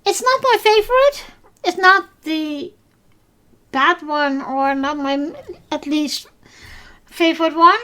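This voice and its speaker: A Dutch woman hosts a solo podcast recapping episodes, speaking English as a second language. Her voice sits high, and she talks slowly. She hesitates often, filling pauses and restarting her sentences. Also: bright, forceful tone. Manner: hesitant, many fillers and restarts. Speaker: podcast host.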